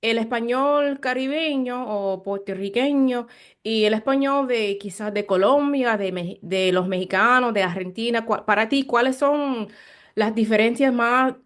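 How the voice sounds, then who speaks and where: Slow-paced American woman interviewer. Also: a little high. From Chicago, United States. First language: English. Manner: halting second-language speech, searching for words. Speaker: interviewer, host. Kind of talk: casual conversational interview in learned Spanish.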